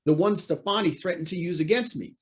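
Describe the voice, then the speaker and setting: A man's voice: high voice, fairly quick, husky. A man acting an earnest, anxious, honest judge in an old-time radio drama. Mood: startled.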